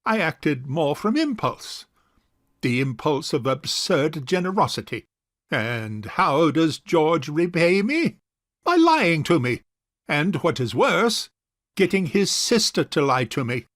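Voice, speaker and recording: An actor playing a pompous, self-righteous rich old bachelor uncle in a theatrical period farce. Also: male voice, on the high side, big pitch swings, a bit bright, no echo, studio quality, full character voice. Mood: aggrieved, indignant. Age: older. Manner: pompous, deliberate, orating. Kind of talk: theatrical period farce audio drama acting.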